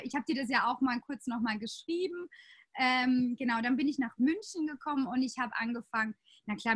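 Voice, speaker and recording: A woman's voice: on the high side, a bit bright. A woman in her late twenties from Austria, a yoga teacher telling her story in a casual interview with many fillers. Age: 20s.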